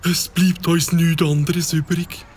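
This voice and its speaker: A man's voice: on the high side, level tone, slow pace, darkish tone, breathy edge. A man voicing a fussy, learned bird-watcher in a cartoon, speaking German with a Swiss accent and a pompous, long-winded manner. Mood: earnest.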